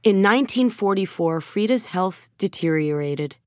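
A middle-aged woman narrating a children's storybook in a gentle, measured way, softening her voice at tender moments. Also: mid-range voice, animated, no room sound, studio quality. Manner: gentle, measured storytelling with soft dips in volume. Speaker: audiobook narrator. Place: recording studio. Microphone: close studio microphone. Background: background music.

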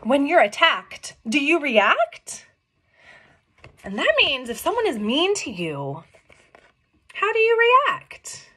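A middle-aged woman reading a picture book aloud to young children, expressive and questioning, explaining things simply as she goes. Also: high-pitched, slow, pauses often. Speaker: read-along storyteller for young children. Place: small room at home.

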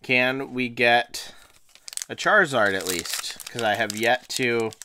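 A man hosting a card-opening video, chatting casually and a little hoarse from a cold, often restarting phrases and laughing as he talks. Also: medium pitch, takes it slowly, bright, forceful tone, slight background noise. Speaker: card-opening channel host. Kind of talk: casual unboxing chat. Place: indoors at a table, hands on camera.

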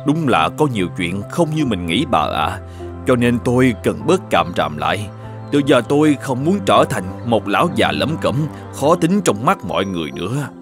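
A man voicing a grumpy, nagging older shoemaker in an animated folk tale, muttering and exclaiming as he talks. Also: lowish voice, moderate pace, over music. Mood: apologetic. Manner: exclaiming, muttering to himself, scolding. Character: grumpy, nagging older shoemaker husband, scornful and quick to scold.

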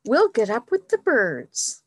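A woman's voice: on the high side, wildly swooping pitch, slow pace, no echo, pitched above the performer's own voice, exaggerated voice. A woman voicing a sensible, sleepy older sister who speaks patiently and a little wearily.

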